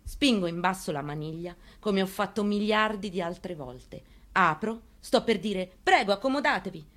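A woman's voice: medium pitch, animated, moderate pace, a bit bright, lightly acted. A woman performing a dramatic monologue reading as a haunted, plainspoken mother recounting her story. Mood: tense, anguished. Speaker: actress in a staged reading.

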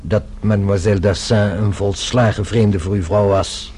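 An actor playing a formal, cautious French police inspector, measured and polite, in a radio play. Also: male voice, lowish voice, fairly even intonation, darkish tone, slight background noise, lightly acted. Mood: measured, unruffled.

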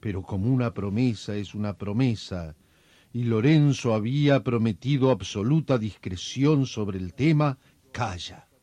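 An actor narrating a domestic radio melodrama as a wry, solemn storyteller with a measured delivery. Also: male voice, medium pitch, mellow, dry recording, close to an everyday voice. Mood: wry, confiding. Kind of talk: scripted radio drama narration.

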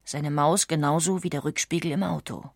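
A woman narrates a documentary script in a lowish voice. Her delivery is measured and articulate, and the recording is dry and close, with very little room sound. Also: steady tone, gravelly, no echo. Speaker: audiobook narrator.

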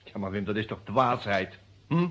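An actor playing an agitated, indignant lawyer suspected of murder in a vintage radio play, husky and emphatic, with a Netherlands Dutch accent. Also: male voice, on the low side, moderate pace. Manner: excitable, emphatic, repeats words. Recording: dull, muffled old radio recording.

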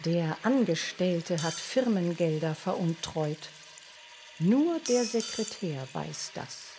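A woman reading scripted radio feature narration in a measured, terse manner. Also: on the low side, expressive, background noise. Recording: music under the voice. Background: music.

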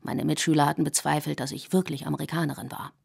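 Low, gravelly woman reading an essay. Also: steady tone, darkish tone. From Germany. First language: English. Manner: measured, reflective reading. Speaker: essay author and narrator. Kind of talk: scripted radio essay narration.

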